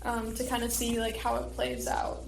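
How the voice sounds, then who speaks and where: A teenage girl answers casually in an interview, peppering her talk with "like". Her voice is slightly high and fairly flat in melody, recorded in an echoey room with faint noise. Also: normal speed, creaky. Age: teens. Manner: filler-heavy, frequent "like". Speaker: high school theater student guest.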